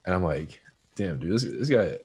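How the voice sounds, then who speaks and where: A man's voice: sits low, very animated, normal speed, a little mellow, husky. A young man chatting casually on a podcast, hesitant, repeating words and saying "like" often. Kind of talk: casual chat.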